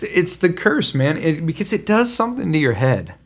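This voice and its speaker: A man's voice: a little high, sing-song, normal speed. A man hosting a podcast, chatting casually and encouraging his guest with frequent agreement. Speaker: podcast host.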